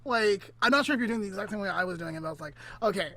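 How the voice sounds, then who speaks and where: A woman's voice: mid-range voice, a bit bright. A woman co-hosting a podcast over a remote call, chatting casually and drawing out her guesses in a word game. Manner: drawn-out guessing questions, playful. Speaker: podcast co-host. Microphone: remote call mic.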